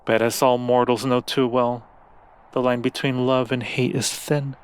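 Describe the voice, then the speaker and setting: A man playing a seductive, obsessive demon prince in human form, speaking slowly and deliberately with menacing tenderness in an ASMR roleplay. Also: medium pitch, little pitch movement, clean tone, lightly acted. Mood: brooding, obsessive.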